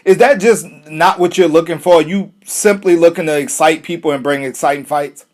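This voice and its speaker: Friendly male interviewer, slightly raised pitch, relaxed question-asking. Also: brisk. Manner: friendly, calls guest brother.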